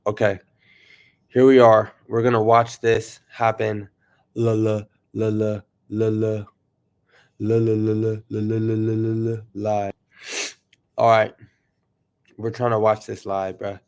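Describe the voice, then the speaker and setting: A man casually chatting to viewers on his livestream, rambling and excitable, sniffling from allergies. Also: on the low side, a little slow, pauses often, clear, resonant tone. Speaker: YouTuber livestreaming.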